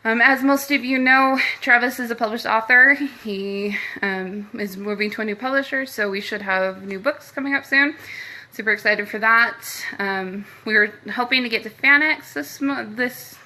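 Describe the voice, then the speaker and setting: A woman's voice: fairly high pitch, moderate pace, pushed and bright. A middle-aged American woman giving a casual update to her followers, chatty and informal, rambling from point to point. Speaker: paranormal team host.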